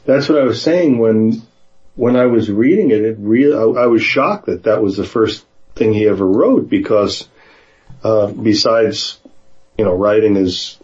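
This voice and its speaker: A man in casual conversation, speaking in a hesitant, halting way, sometimes reading aloud. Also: lowish voice, a little mellow, highs cut off. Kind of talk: casual conversation with some read-aloud passages.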